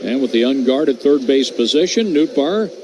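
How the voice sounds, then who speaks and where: Brisk male radio play-by-play announcer. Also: animated, noisy background. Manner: crisp, rattles off stats and calls each pitch.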